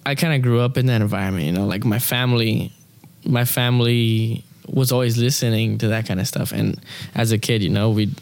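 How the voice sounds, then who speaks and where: A man's voice: lowish voice, steady tone, a little fast, darkish tone. A young man who sings opera, talking casually in an interview, rambling with many fillers. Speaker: opera singer, interview guest.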